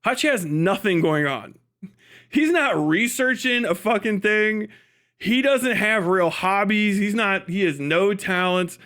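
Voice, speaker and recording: A man hosting a casual livestream, talking to his viewers in an animated, sweary, mocking way. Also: high-pitched, slow. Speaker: live stream host.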